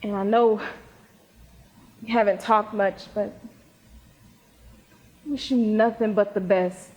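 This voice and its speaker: A woman acting as an affectionate teenage girl, a new high school graduate, in a live stage play. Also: fairly even intonation, slow, darkish tone, natural voice. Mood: subdued, sincere. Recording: reverberant hall, live stage recording.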